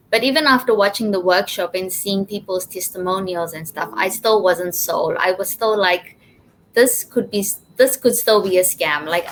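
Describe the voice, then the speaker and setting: A woman's voice: mid-range voice, a little hiss or hum, poor audio. A woman from Zimbabwe speaking casually as an interview guest, telling of personal experience.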